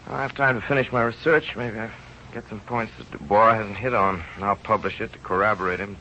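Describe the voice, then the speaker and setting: An actor playing an earnest, driven young research scientist, with intense delivery in an old radio drama. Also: male voice, fairly low pitch, steady tone, brisk, pushed and bright, a little hiss or hum. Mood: resigned, bitter. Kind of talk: 1930s-40s radio drama acting and first-person narration. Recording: muffled old radio recording with music behind.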